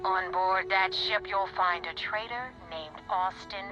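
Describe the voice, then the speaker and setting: A woman's voice: medium pitch, a little slow, muffled recording, close to an everyday voice. Woman acting as ruthless pirate boss, female, video game character, commanding, sardonic, menacing, music behind. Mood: cold, commanding.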